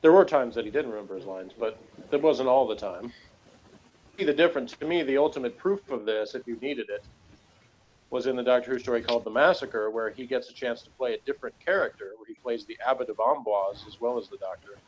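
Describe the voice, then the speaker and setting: A man chatting casually as a co-host about a TV show, in a rambling, halting way, on a dull lo-fi recording. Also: mid-range voice, a little mellow, a little hiss or hum. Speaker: podcast co-host. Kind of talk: casual TV episode discussion.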